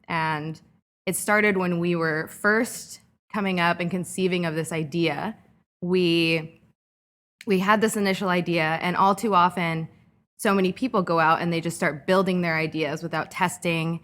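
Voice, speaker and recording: A woman in her thirties from California talks through her story as a podcast guest. Her voice sits a little low, and she sounds thoughtful and steady, leaning on fillers like "um" and "like". She grows lively when she tells anecdotes. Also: unhurried. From California, Orange County. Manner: reflective, lively when telling anecdotes, frequent "um" and "like". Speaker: guest entrepreneur. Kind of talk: conversational podcast interview.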